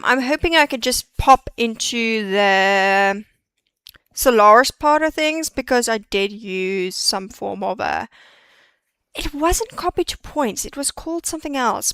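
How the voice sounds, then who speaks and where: A woman's voice: on the high side, a bit bright, smooth, dry recording, clean studio sound. A woman streaming a 3D modeling session, chatting and muttering to herself as she works through each step. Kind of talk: live-stream tutorial, thinking aloud.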